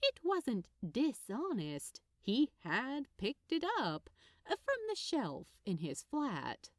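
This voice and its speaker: A woman reading fiction aloud as narrator in an expressive storytelling style. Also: on the high side, takes it slowly, no echo, studio quality.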